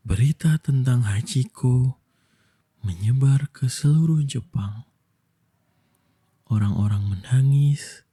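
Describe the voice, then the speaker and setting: A young man gives a gentle, soothing ASMR bedtime delivery in a soft, breathy voice. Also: mid-range voice. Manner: slow, gentle, soothing delivery with long pauses. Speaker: ASMR voice actor telling a bedtime story as a boyfriend.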